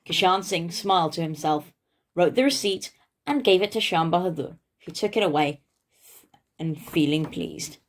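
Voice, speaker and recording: A woman's voice: fairly low pitch, lively intonation, normal speed. A woman narrating a story for children as the host of a read-aloud show.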